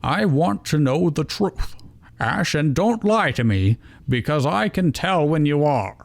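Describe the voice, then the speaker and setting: A man voicing a calm, caring but stern frog ninja creature, scolding yet gentle, in a fan fiction reading. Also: on the high side, measured pace, creaky, no echo, voice pushed up. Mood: scolding, concerned.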